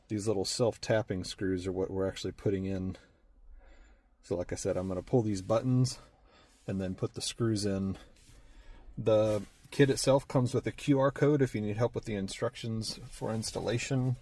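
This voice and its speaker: A man's voice: lowish voice, a little mellow. A man giving a casual, plain-spoken hands-on tutorial, talking through each step as he works. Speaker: vlogger doing a DIY truck install.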